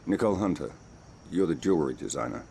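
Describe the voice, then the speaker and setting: A man's voice: deep voice, very animated, fast talker, creaky, dark tone, slight background noise, full character voice. A man with a British accent playing a cool, commanding secret agent in a video game, speaking in clipped, controlled phrases. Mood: suave. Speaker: actor in a spy action game. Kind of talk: cinematic video game acting.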